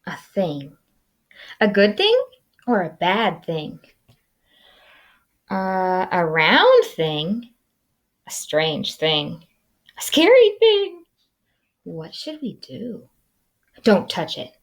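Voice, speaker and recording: Woman acting small storybook prairie dogs, timid, excitable, exclamatory, pitch leaping from hushed to squealing. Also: extremely slow, long pauses, heavily acted. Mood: wary, curious.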